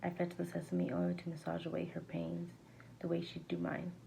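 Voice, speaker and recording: Creaky-voiced woman reading aloud. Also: lowish voice, completely flat delivery, mellow. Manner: halting, stumbles over words and rereads. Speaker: amateur reader. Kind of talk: reading a verse novel aloud. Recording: faint background noise.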